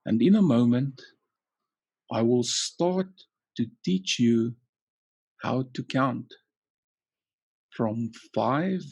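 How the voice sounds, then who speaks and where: A man guides a relaxation exercise at a very unhurried pace, in short soothing phrases with long gaps between them. His voice is creaky and husky. He sounds like a trainer calmly teaching a hypnotic induction. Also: medium pitch, no echo. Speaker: coaching trainer leading a session.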